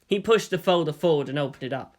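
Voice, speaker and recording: A man's voice: on the high side, expressive, quick pace, a little mellow. A man reading a story aloud as a narrator, in a scripted storytelling style. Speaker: fan fiction reader.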